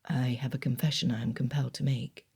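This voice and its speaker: A woman voicing a reserved young gentleman suitor who speaks low, hushed and earnest. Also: moderate pace, vocal fry, husky, exaggerated voice.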